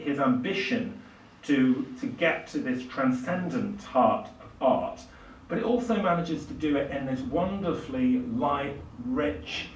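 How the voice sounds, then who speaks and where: An older man giving a public lecture, speaking in a hesitant, halting way with reflective pauses. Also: a little high. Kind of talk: public lecture on literature and music. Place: small indoor lecture room, at a lectern. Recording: roomy, distant microphone, faint hiss.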